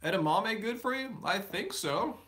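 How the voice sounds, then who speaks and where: A man's voice: high voice, lively intonation, normal speed, bright, forceful tone. A man hosting a live stream, answering viewer questions in a casual, rambling way with many fillers and restarts. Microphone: live stream setup.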